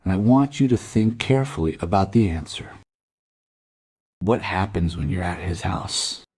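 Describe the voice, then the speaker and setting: Guy playing a cop in an audio drama, low raspy voice, patient but keeps pressing. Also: dry recording, studio quality. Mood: patient, probing. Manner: measured, gently insistent questioning. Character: patient, probing adult male police detective.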